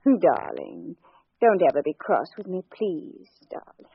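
A woman's voice: lowish voice, wildly swooping pitch, measured pace, slightly rough, no echo, full character voice. A woman acting in a 1940s radio melodrama as a pleading young mistress in love with a married man. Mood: pleading, tender. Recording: dull 1940s radio recording.

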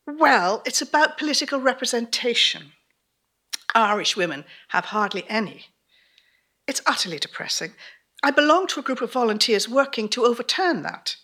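Earnest young female activist, acted by a woman; polite yet frustrated, theatrical, bright and lively delivery. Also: fairly high pitch, moderate pace, exaggerated voice. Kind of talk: theatrical two-character storytelling performance.

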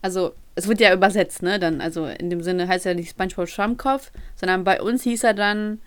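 A young woman from Ukraine co-hosts a casual talk. Her voice sits at an ordinary pitch for a woman. She speaks in loose, slangy sentences and teases playfully as she goes. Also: fairly quick, clean tone. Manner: playful, slangy, animated banter. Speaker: podcast co-host. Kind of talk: casual chat.

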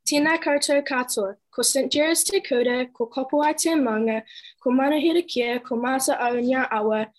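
Woman speaking for a school class, fairly high voice, formal greeting, video call. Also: normal speed. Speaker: school representative.

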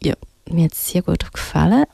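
A woman with a Swiss German accent presenting music on the radio, unscripted, in halting short phrases with pauses. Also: lowish voice, animated, creaky, mellow, breathy edge, no room sound. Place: radio studio.

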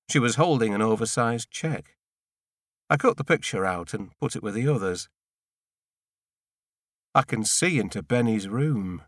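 Male audiobook narrator, mid-range pitch, measured first-person storytelling, deliberate short phrases, dry studio-like sound. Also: fairly bright tone, no echo.

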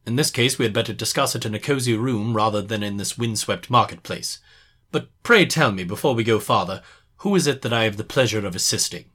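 A man playing a shrewd, courteous but commanding gentleman detective in a dramatised Victorian story, speaking precisely and formally with quiet mockery. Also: fairly low pitch, a little fast, slightly rough. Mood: courteous, confident.

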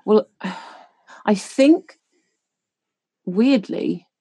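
An English woman in her fifties, a podcast guest telling stories in quick, chatty bursts with laughing asides. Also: medium pitch, animated, gravelly, warm tone, slightly rough, no room sound. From England. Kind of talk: casual interview chat.

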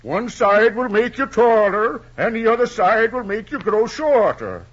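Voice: mid-range voice, big pitch swings, measured pace, breathy edge. An actor voicing a small, haughty creature who scolds and gives curt advice, in a dull old film recording.

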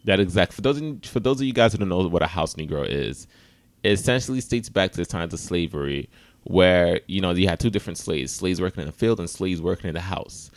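Deep-voiced male podcast host, dry close sound. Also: animated, a little fast, dry recording. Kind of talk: casual sports talk podcast.

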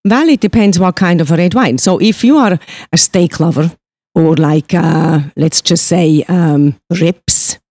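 A woman from Switzerland talks through wine pairing as a guest sommelier. Her voice sits low and mellow, and she explains in a measured way with small pauses. The sound is dry and close. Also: no echo.

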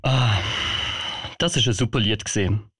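A man's voice: lowish voice, moderate pace, a bit bright, slightly rough. A man with a Swiss German accent hosting a radio show and narrating a story.

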